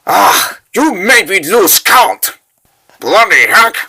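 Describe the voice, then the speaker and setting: A man's voice: sits high, sing-song, slow, creaky, sharp tone, slightly rough, voice pushed up, exaggerated voice. A man voicing a brash, irritable villain in a comic dub, blustering and menacing. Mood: irritated.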